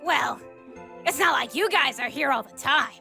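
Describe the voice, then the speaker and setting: A woman's voice: high-pitched, normal speed, gravelly, sharp tone, faint music behind, exaggerated voice. A woman voicing a brash, cocky young female cartoon troublemaker with a mocking, swaggering manner, in a comic dub. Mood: dismissive, cocky. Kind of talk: animated comic dub acting.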